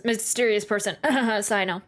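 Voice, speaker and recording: A woman's voice: mid-range voice, a little slow, a bit bright. A woman hosting a game reaction video, musing aloud in a playful, slangy, casual way. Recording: faint game music behind.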